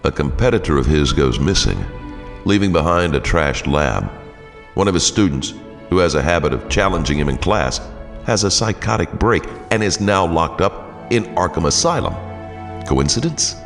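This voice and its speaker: A man's voice: deep voice, animated, moderate pace. A man playing a grim, suspicious investigator in an audio drama, speaking in a terse, brooding way. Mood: grim suspicion. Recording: long cavernous reverb, faint music.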